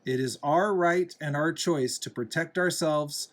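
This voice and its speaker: A man, a father, reading a picture book aloud to a young child in a deliberate, gently explaining, instructive way. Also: a little high, a little hiss or hum. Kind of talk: read-aloud storybook narration with asides to a young child.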